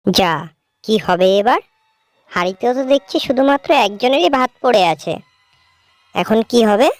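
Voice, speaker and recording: A woman's voice: a little high, expressive, normal speed, soft background music, lightly acted. A woman acting a young village sister in a cartoon folk tale. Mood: worried, meek. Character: two village sisters, one sweet, one spiteful.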